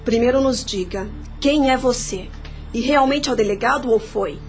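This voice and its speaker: A woman's voice: on the high side, moderate pace, natural voice. An actress playing an assertive, challenging dead woman with a Brazilian accent in a radio drama. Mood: challenging, firm. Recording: music under the voice.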